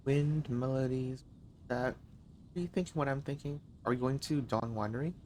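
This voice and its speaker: A man's voice: medium pitch, normal speed, a little hiss or hum. A man giving casual, offhand gameplay commentary as a streamer. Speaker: game streamer. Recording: loud game music behind the voice.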